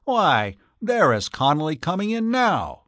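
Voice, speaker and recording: A man voicing an aggrieved, energetic music publisher who complains emphatically in an audiobook. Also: fairly high pitch, no room sound, clean studio sound, pitched above the performer's own voice, exaggerated voice. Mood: surprised, puzzled. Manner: emphatic, complaining, drawn-out stresses. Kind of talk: audiobook character voice.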